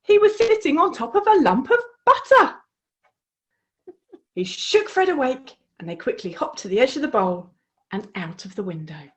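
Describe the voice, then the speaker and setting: A woman reading her children's storybook aloud in an expressive, encouraging way. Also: high-pitched, normal speed. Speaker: author reading her own book.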